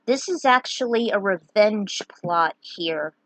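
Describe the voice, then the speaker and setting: A woman's voice: mid-range voice, animated, takes it slowly, no echo, rough recording. A woman introducing and narrating her own fan fiction in a theatrical, emphatic way. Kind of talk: dramatic recap and reading of own fan fiction.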